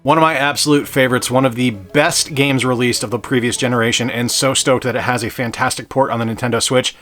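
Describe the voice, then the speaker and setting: A man's voice: medium pitch, steady tone, quick pace, fairly bright tone. A man hosting a game channel, talking about games in an upbeat, enthusiastic way. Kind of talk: casual game review talk.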